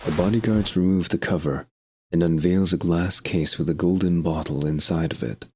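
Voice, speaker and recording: A man's voice: low voice, normal speed, a little mellow, a little hiss or hum. A man narrating a scripted audio drama in the present and past tense as a storyteller.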